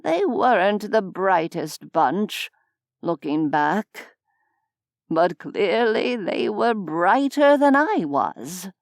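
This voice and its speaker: A woman voicing a weary, wry old male medieval nobleman in an audiobook, speaking in a slow, measured, self-mocking way. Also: medium pitch, very animated, creaky, no room sound, clean studio sound, full character voice. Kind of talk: audiobook character voice.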